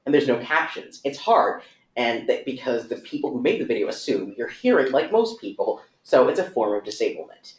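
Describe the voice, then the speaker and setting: A man speaks in a medium voice and explains his point in long, rapid, run-on sentences with fillers. The recording sounds dull and lo-fi. It has a noticeable echo from the room. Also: mid-range voice, big pitch swings. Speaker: talk speaker. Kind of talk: conversational talk.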